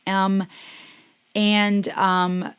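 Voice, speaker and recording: A middle-aged woman hosting a music reaction, talking casually and warmly, often pausing with ums to search for words. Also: medium pitch, little pitch movement, smooth. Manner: warm, gushing, searching for words with many ums.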